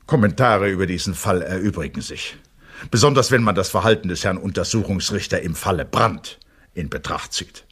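A stern letter writer in an audio drama. His low voice is husky, and he states his complaint formally, with indignant emphasis. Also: a little fast, a bit bright.

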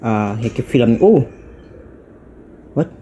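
A man with an Indonesian accent plays and comments casually as he goes. His pace is slow and loose, with pitch that jumps around a lot. He reacts out loud in a slangy, informal way. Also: expressive, slight background noise. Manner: reactive, mixes slangy Indonesian with English exclamations. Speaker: gaming YouTuber.

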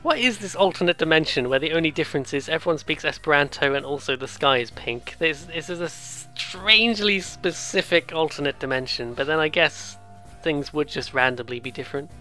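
Bright-voiced, excitable let's player. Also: medium pitch, brisk, soft background music. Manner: rambling, excitable, jokey asides. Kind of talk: casual game commentary, reading on-screen text aloud.

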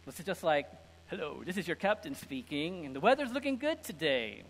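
A middle-aged man preaching a conversational, informal sermon with an animated, humorous manner. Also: fairly high pitch, fairly quick, husky. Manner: animated, informal, acts out imagined dialogue. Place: indoor church stage with lectern and screen. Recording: reverberant hall sound.

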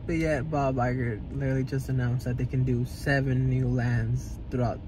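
A man's voice: mid-range voice, level tone, moderate pace, background noise. A young man co-hosting a casual vlog chat from a car, mostly adding short remarks. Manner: brief agreeing interjections. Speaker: co-host.

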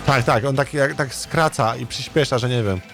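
A man's voice: medium pitch, normal speed, gravelly. A Polish man giving casual, rambling game commentary and chatting with viewers in blunt, sweary language. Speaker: gaming streamer playing a visual novel. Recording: game music behind the voice.

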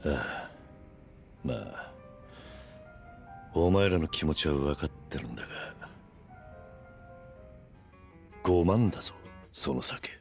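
An actor playing a stoic middle-aged yakuza veteran in a video game, terse, measured and calmly commanding. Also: male voice, rumbling low, vocal fry, faint music behind, full character voice. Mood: deadpan, stern. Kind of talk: Japanese video game voice acting.

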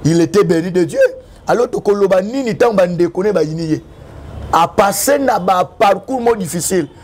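A man's voice: high-pitched, moderate pace, a little mellow. A young man from Congo speaking French with a Congolese accent, a prophet who preaches fervently in an interview, mixing in Lingala and sometimes half-singing. He is recorded outdoors under cover on a lapel mic. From Congo (DRC). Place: covered outdoor terrace, tiled wall.

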